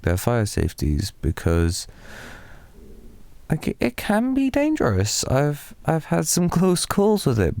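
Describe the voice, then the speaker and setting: A young British man speaks in a low, gravelly murmur with a mellow, dark tone. He rambles slowly and softly in an improvised boyfriend ASMR roleplay, now and then dropping to a whisper, recorded dry and close. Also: dry recording. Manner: soft, drowsy, rambling with slips into whispers. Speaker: ASMR performer.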